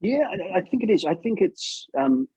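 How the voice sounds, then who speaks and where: Male, English accent, soft dark tone with a husky edge, chatty guest delivery full of tag questions. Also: sits high, animated, normal speed, no room sound, low-quality recording. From England. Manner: informal, chatty, seeks agreement with tag questions. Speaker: guest puppeteer. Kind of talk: casual interview chat.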